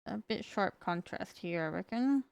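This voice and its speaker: Woman muttering softly while painting. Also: medium pitch, animated, normal speed, vocal fry, clear, resonant tone. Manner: soft muttering to herself as she works. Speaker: streamer. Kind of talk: casual chat while painting a miniature.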